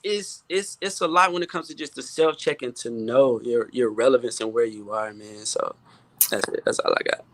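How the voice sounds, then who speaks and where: A man speaking as a guest producer and songwriter in a casual panel chat, halting and repeating words as he thinks, often adding "you know". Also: medium pitch, fairly bright tone.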